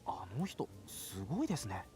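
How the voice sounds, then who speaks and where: A man's voice: mid-range voice, huge pitch swings, quick pace, warm tone. An actor playing a polite, easily impressed young man in a Japanese visual novel. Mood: curious, impressed. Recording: game audio with music behind.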